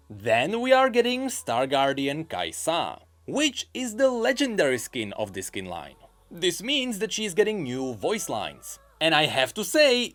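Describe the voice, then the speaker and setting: Wry, animated male gaming news host. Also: a little high. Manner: wry, dryly sarcastic asides. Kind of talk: scripted narration of video game news. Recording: music behind the voice. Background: background music.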